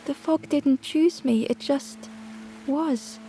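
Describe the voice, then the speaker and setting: A woman's voice: sits high, slow pace, over music. A woman voicing a melancholy, resigned stranger in a storybook game.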